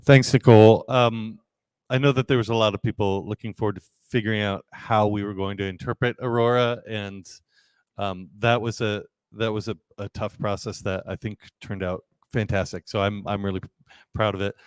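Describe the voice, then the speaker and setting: A man hosting a live stream, low-key and hesitant, handing off to others. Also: steady tone, normal speed, a little mellow, clean tone, dry recording. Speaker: host.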